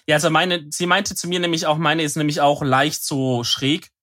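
A young man with a slightly nasal, stuffed-up voice chats loosely, piling on slang and fillers as he rambles. His voice sits a little high for a man. The recording is dry and close. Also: level tone, moderate pace, sharp tone, no echo. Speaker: podcast co-host. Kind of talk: casual chat.

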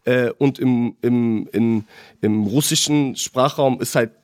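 A young man who came to Germany as a child, chatting casually as a podcast guest with animated, halting speech full of restarts. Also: medium pitch, no echo, studio quality. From abroad, came to Germany as a child.

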